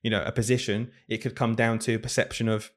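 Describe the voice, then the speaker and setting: A man's voice: lowish voice, flat delivery, fast. A man, a primary school teacher co-hosting a casual podcast, talking earnestly and thinking aloud.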